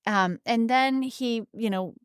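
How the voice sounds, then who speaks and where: Smooth-voiced chatty woman from Wisconsin. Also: a little high, slow, no room sound, clean studio sound. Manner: animated, chatty storyteller with fillers. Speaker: podcast co-host, antique shop owner. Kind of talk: casual storytelling chat.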